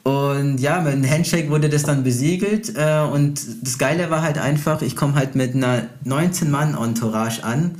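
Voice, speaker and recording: A man's voice: fairly high pitch, normal speed, hardly any pauses, a little mellow. A young man with a Brazilian background chats casually, telling anecdotes in a slangy, boastful, unfiltered way. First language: Portuguese. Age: young adult.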